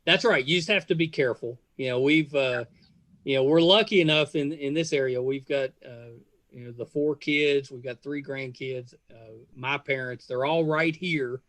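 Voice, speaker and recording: A man's voice: mid-range voice, normal speed, fairly bright tone, no room sound. A middle-aged man hosting a casual interview, speaking in a folksy, chatty way. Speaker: podcast host.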